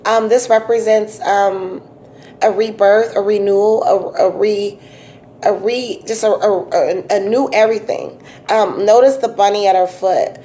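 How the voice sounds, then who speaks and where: A woman with a raspy voice giving a casual, chatty tarot reading, full of fillers and restarts. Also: mid-range voice, fairly even intonation, unhurried, mellow, slight background noise. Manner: chatty, repetitive, many um fillers. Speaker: tarot reader.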